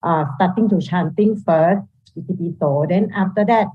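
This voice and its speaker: A woman from Singapore asking a question as a meditation student, halting and searching for her words. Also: lowish voice, husky. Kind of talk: question in a live online session. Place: video call. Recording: dull video call audio.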